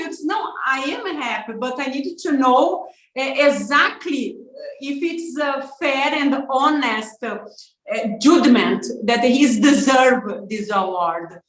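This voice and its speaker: A woman from Brazil answering interview questions in hesitant English full of pauses and fillers. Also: sits high, fairly bright tone. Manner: slow, hesitant, halting English with many fillers. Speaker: interview guest, parent. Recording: roomy echo.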